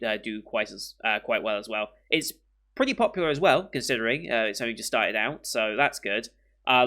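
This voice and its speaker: A young British man talks casually to his viewers with a bright, forward voice and a slight sniffle from a coming cold. He rambles, backtracks and fills gaps with ums as he thinks aloud. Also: mid-range voice. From Britain. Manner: rambling, with many ums and restarts. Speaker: anime reaction host. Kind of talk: casual chat to camera.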